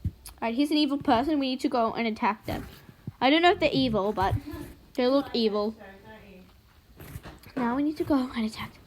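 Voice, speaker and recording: A young woman with a very high voice chats casually over a game she is playing. She is excitable and giggly, slipping into mock-dramatic roleplay delivery. The home recording has clear background noise. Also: fairly quick, pauses often, poor audio. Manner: talks while eating and sucking ice. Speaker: gaming video host. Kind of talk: casual playful gameplay commentary with roleplay.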